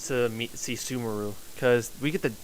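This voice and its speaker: A man giving casual, off-the-cuff live gameplay commentary, stumbling over words and reading text aloud in halting phrases. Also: medium pitch, a little hiss or hum, low-quality recording. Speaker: game streamer. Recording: faint game music behind.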